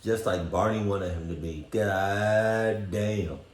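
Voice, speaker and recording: A man's voice: on the low side, steady tone, slow pace, reverberant. A man giving casual reaction commentary in a blunt, joking, profane way. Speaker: reaction video host.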